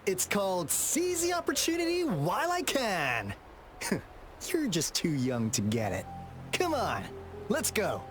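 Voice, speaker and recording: An actor playing a roguish, curious young investigator in a video game, with a theatrical, teasing, breezy manner. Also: male voice, high voice, huge pitch swings, a little slow, heavily acted. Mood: breezy, wry. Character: roguish, curious young male treasure-hunting investigator, playful and cocky. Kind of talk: animated video game voice acting. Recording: game music under the voice.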